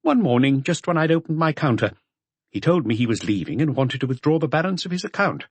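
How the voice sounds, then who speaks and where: A courteous, forthcoming witness in a crime audiobook, acted by a male narrator. The pitch is lifted above the reader's low register, and the sound is close and dry. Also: very animated, quick pace, husky, no room sound, exaggerated voice. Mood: polite, earnest. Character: polite, helpful male shop witness.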